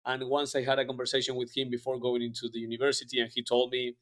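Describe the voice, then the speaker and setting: Man in his thirties, guest on a podcast, chatty and rambling, mid-pitched. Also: completely flat delivery, fast, a bit bright. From Argentina. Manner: rambling, frequent fillers and restarts. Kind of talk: casual interview chat.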